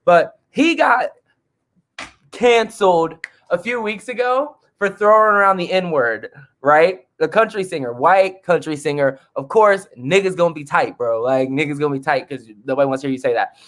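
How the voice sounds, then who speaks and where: Male guest artist, fairly high voice, blunt and profane, animated. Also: normal speed. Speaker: guest musician. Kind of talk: casual podcast chat.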